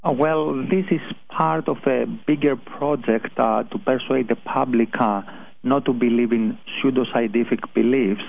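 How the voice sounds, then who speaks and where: A man with a Greek accent, a guest on a radio show, answering hesitantly with many pauses and restarts. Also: mid-range voice, fairly even intonation, gravelly. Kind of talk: phone-in interview. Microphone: telephone. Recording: tinny phone line.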